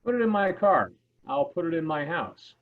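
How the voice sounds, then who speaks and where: A man's voice: a little high, sing-song, normal speed, narrow, tinny audio, slight background noise. A man playing a practical teacher leading a treasure hunt, reading a script over thin audio. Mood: matter-of-fact. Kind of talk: amateur table read of a movie script.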